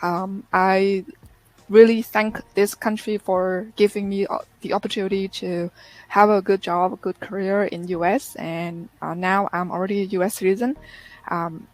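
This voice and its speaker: A woman's voice: medium pitch, fairly even intonation, normal speed, low-quality recording. Earnest woman on a video call. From Vietnam. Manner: earnest, reflective storytelling. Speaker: interview guest. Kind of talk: casual interview chat.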